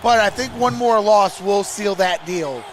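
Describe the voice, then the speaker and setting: A man's voice: high voice, measured pace, crowd noise. A man hosting a sports livestream, giving live play-by-play and chatting in short reactions.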